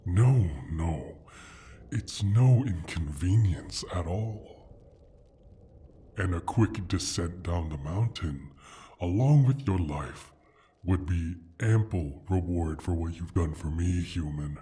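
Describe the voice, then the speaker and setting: A man voicing a proud, sly male dragon in an ASMR fantasy roleplay, speaking in a deliberate, formal, teasing way with a husky, breathy rumble. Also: expressive, warm tone, exaggerated voice. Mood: coaxing. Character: injured male dragon, proud, sly, possessive of his hoard.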